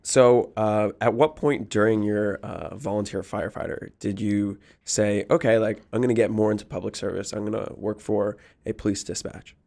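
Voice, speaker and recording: Low-pitched man hosting casual chat. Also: steady tone, moderate pace, gravelly, few pauses, warm tone. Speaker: podcast host, interviewer. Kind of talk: casual conversational interviewing.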